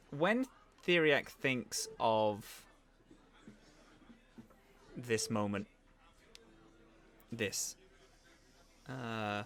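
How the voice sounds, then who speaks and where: A man narrating as game master in an improvised tabletop roleplaying session, halting and thoughtful as he goes. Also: fairly low pitch, fairly bright tone.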